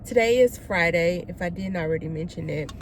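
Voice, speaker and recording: A woman's voice: lowish voice, brisk, a little hiss or hum. A woman in her twenties, from Texas, talking casually to viewers in a vlog, filmed on a phone in her car. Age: 20s. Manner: chatty, addresses viewers as y'all. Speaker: vlogger. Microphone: phone held at arm's length.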